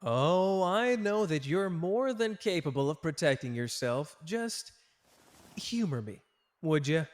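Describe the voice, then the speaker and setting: A man's voice: fairly high pitch, big pitch swings, takes it slowly, no echo, studio quality. A man playing a cocky, tender young male sorcerer in an intimate ASMR romance roleplay, with a playful, teasing, casual manner.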